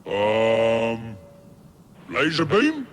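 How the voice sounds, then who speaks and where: Slow, swooping huge dim robot. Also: male voice, mid-range voice, wildly swooping pitch, slight background noise, exaggerated voice. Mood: puzzled, eager. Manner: slow-witted, hesitant, boastful. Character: gigantic, dim, blustering male battle robot. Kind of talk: science fiction radio comedy acting. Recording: archive radio drama with crowded sound effects.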